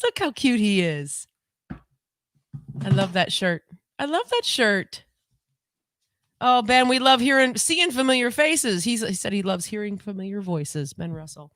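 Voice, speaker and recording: A woman co-hosting a casual home livestream podcast on a desk mic, talking in a rambling, chatty way and repeating herself, her voice lower than usual today. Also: very animated, unhurried, fairly bright tone, dry recording, studio quality. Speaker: podcast co-host.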